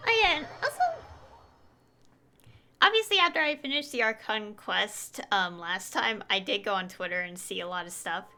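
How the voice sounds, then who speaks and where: A woman's voice: medium pitch, expressive, moderate pace, pushed and bright. A woman casually commentating live gameplay as a streamer, rambling and trailing off in a giggly way. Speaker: game streamer. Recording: faint game music behind. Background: game music.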